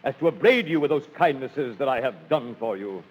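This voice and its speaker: Husky, impassioned loyal seafarer. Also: male voice, medium pitch, lively intonation, fairly quick. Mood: wounded indignation. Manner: impassioned, declamatory. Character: Antonio, loyal sea rescuer, bitterly betrayed and defiant. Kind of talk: 1930s radio Shakespeare acting. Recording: muffled old 1930s radio broadcast.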